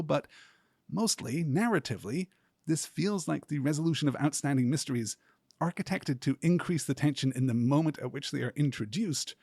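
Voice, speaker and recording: Husky-voiced man giving literary commentary. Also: mid-range voice, a little mellow, no echo, clean studio sound. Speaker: podcast host. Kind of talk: literary commentary, scripted monologue.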